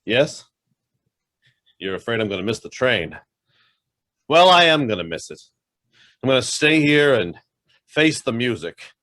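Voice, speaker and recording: An actor playing a guilt-ridden, fervent embezzler on the run in a radio drama, with an emphatic, theatrical delivery. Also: male voice, mid-range voice, very animated, measured pace, long pauses, a bit bright, no echo. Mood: resolute outburst. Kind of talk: stage-style radio drama acting.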